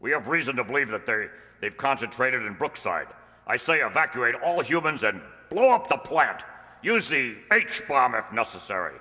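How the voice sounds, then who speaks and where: A pompous, hawkish general, acted by a man, blustering with a bright, forceful voice and lively swings of pitch. It is radio-play comedy with a long hall reverb. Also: normal speed. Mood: grim, hawkish. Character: pompous, hawkish, suspicious male military general. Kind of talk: comic science-fiction radio play acting.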